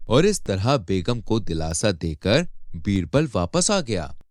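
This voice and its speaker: A man's voice: fairly low pitch, big pitch swings, slow, no echo, clean studio sound. A man narrating a scripted moral story for children as a storyteller.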